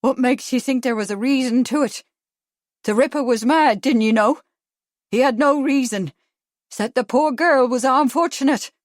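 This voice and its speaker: A woman's voice: sits high, expressive, normal speed, no echo, studio quality, voice pushed up. A woman voicing a grey-haired, folksy shopkeeper landlady in a storybook, with a harsh Cockney accent tinged with Irish. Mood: angry, scornful. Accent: Cockney with some Irish. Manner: chirpy, folksy sales patter.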